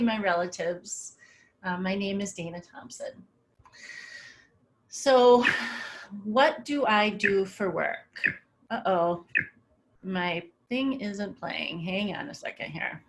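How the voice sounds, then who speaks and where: A woman's voice: mid-range voice, a little hiss or hum. A middle-aged woman giving a webinar talk over slides, in a casual, conversational way with many filler words. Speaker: webinar presenter.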